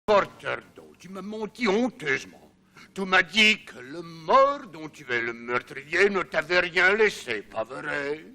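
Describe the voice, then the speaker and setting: A man's voice: on the high side, expressive, unhurried, bright, forceful tone, full character voice. A man playing a greedy, threatening judge with a pompous, domineering manner in a French cartoon dub. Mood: accusing. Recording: music behind the voice.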